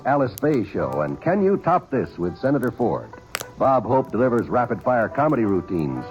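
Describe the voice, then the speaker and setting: A man announcing network programs in a formal 1950s radio announcer style. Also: medium pitch. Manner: formal, sweeping announcer delivery. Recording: dull lo-fi vintage radio broadcast with loud music.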